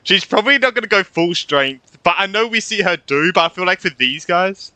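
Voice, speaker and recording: A young man doing casual gameplay commentary, excitable and slangy as he reacts aloud. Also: high-pitched, a little fast, dry recording, poor audio. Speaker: gaming streamer. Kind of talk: casual let's play commentary.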